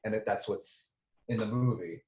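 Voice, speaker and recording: Rambling film director in an echoey room. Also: male voice, on the low side, normal speed, dark tone. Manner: rambling, lots of "like" fillers. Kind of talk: casual reunion chat.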